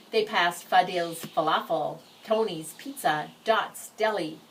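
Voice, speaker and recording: Woman reading a storybook, distant and noisy. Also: fairly low pitch. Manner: expressive, acts out the dialogue. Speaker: story reader. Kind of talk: read-aloud of a children's picture book with brief asides. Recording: distant microphone, background noise.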